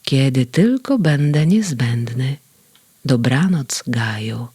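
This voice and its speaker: A woman voicing a gentle, wise male guardian in a children's story, speaking in a soft, reassuring way. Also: extremely low pitch, animated, slow pace, slightly rough, no echo, clean studio sound, full character voice. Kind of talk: storybook reading.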